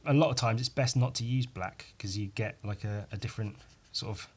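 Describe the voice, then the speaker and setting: A man with a croaky voice rambling casually on his own as a podcast host, informal and sweary, poking fun at himself and tacking questions onto his remarks. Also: fairly low pitch, fairly quick. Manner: sweary, informal, self-deprecating, with tag questions. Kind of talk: casual rambling solo chat.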